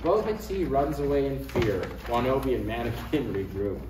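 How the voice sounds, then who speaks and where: A man's voice: medium pitch, sing-song, moderate pace, over music. A man reading out the stage directions of a comedy script as narrator. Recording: echoey room, distant mic, faint noise.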